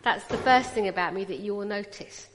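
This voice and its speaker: A woman's voice: medium pitch, fairly quick. A woman in her forties from Punjab, India, with a British English accent, giving a chatty personal testimony as a guest speaker at church and teasing the audience to react. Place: church hall, indoors. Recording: long reverb of a large hall.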